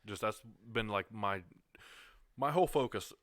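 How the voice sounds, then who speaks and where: A man's voice: on the low side, unhurried, a bit bright, no echo, clean studio sound. A man in his twenties, a podcast guest, talking candidly and reflectively in casual chat. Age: 20s. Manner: candid, self-reflective storytelling.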